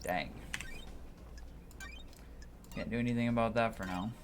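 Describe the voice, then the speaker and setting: A man's voice: fairly low pitch, measured pace, a little hiss or hum. A man hosting a livestream, giving casual commentary as he plays a game. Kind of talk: casual gameplay commentary, reading dialogue aloud.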